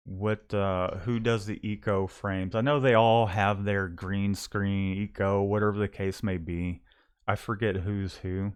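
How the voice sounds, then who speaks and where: A man's voice: fairly low pitch, smooth. A man with a Southern accent mixing Texas and Louisiana, chatting casually and rambling with lots of restarts. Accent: Southern US, Texas-Louisiana mix. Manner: rambling, many restarts and fillers. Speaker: screen printing shop owner, co-host. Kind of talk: casual livestream chat.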